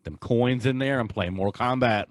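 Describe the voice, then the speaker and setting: A man's voice: mid-range voice, normal speed, no room sound, studio quality. A man hosting a podcast, chatting casually about pop culture. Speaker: podcast host.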